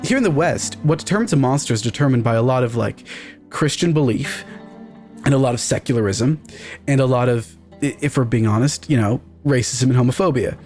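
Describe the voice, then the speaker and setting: Guy on a podcast talking fantasy games, medium pitch, blunt n emphatic, opinionated. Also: a little fast, darkish tone, faint music behind. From Canada. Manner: emphatic, candid, swears casually. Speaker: podcast guest, game writer.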